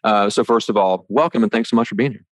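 A man's voice: lowish voice, fast talker, no echo, studio quality. A man from the American Midwest hosting a podcast interview, friendly and rambling. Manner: friendly, rambling with fillers and restarts. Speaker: podcast host.

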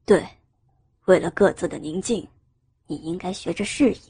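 A cold young businessman from a romance audiobook, acted by a man. The voice is very husky and dark in tone, with a stern, restrained manner, recorded dry. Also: high-pitched, normal speed, no echo.

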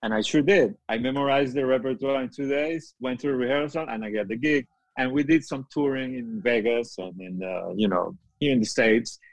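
A middle-aged man, a musician whose first language is not English, telling stories in a casual interview, lively and quick to act out what people said. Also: medium pitch, no room sound, rough recording. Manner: animated storyteller, acts out quoted voices, laughs often. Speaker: guest musician, keyboard player.

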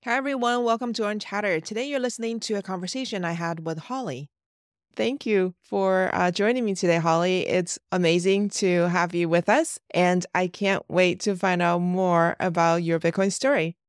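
An upbeat woman from the United States hosts a podcast interview and asks short questions. Her voice sits a little low, and the close recording is dry, with no room echo. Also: fairly even intonation, moderate pace, clean tone. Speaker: podcast host. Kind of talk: friendly interview hosting.

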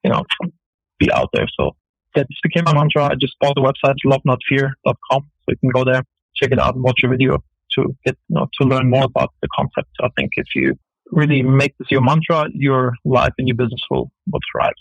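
A man's voice: mid-range voice, animated, creaky, breathy edge, no room sound, low-quality recording. A man with a German accent, a business guest on a radio talk show, answering in an earnest, filler-filled way. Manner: earnest, fast, full of fillers. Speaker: entrepreneur guest.

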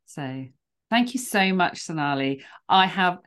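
Casting note: woman podcast host, low-pitched, effusive and encouraging in conversation. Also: expressive, a little slow, fairly bright tone. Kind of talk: conversational interview.

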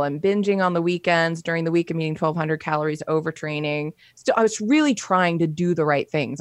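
Female guest, lowish voice, dry close sound, candid chatty storyteller. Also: no echo. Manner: frank and conversational, with frequent fillers. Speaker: podcast guest.

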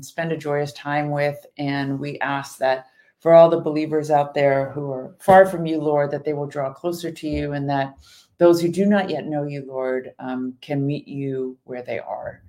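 A woman's voice: low voice, darkish tone. A woman co-hosting a faith talk show, chatting casually, reading viewer comments and leading an earnest spoken prayer. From Iran. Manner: chatty, reads viewer comments aloud, earnest when praying. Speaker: podcast co-host.

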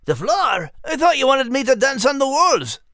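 A man's voice: very high-pitched, sing-song, normal speed, sharp tone, no echo, clean studio sound, heavily acted. A man voicing a jovial, clumsy, good-natured four-legged animal with a Scottish accent in a children's audio drama. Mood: cheerfully puzzled.

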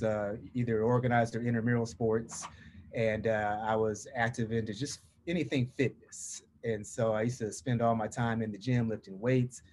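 A man's voice: medium pitch, darkish tone. A middle-aged man from the US West Coast with a Southern drawl, telling his personal story as an interview guest. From Portland, Oregon, United States. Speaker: podcast guest.

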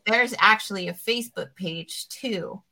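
A woman hosting a podcast interview, talking in an earnest, reflective way, pausing with frequent fillers. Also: mid-range voice, bright, forceful tone, low-quality recording. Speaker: podcast host.

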